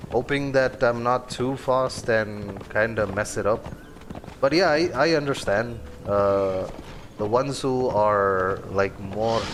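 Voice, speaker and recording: A man's voice: mid-range voice, background noise. A man casually commenting on his game during a live stream, rambling along with many fillers. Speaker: gameplay streamer.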